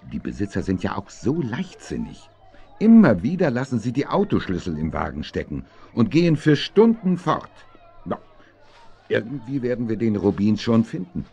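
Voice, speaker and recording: A man's voice: fairly low pitch, wildly swooping pitch, moderate pace, mellow, slightly rough, full character voice. A man playing a matter-of-fact policeman in a children's radio play. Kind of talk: 1970s German children's radio play acting. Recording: dull, muffled old audio drama recording.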